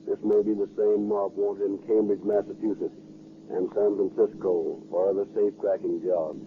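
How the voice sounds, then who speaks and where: A man's voice: fairly low pitch, flat delivery, normal speed. A man giving a terse, official police radio bulletin as a dispatcher, in clipped 1930s style. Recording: very noisy, tinny 1930s radio recording.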